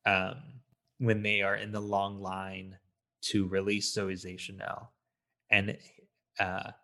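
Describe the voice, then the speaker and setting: A man's voice: low voice, completely flat delivery, takes it slowly, gravelly, pushed and bright. A man co-hosting a movie review podcast, talking in a casual, opinionated way. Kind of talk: casual film discussion.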